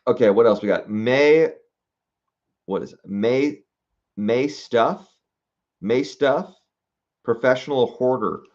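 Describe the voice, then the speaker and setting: A man's voice: medium pitch, a little mellow, lo-fi sound. A young man hosting a casual livestream, reading out viewer suggestions and thinking aloud with fillers and restarts. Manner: musing aloud, reading comments, halting with fillers.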